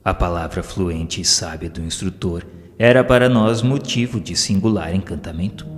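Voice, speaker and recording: A man's voice: deep voice, moderate pace. A man with a Brazilian accent narrates a scripted audio novel in a solemn, formal literary manner. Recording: long reverb over music. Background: music.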